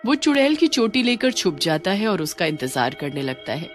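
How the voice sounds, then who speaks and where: A woman's voice: lowish voice, sing-song, normal speed. Woman narrating a story over music.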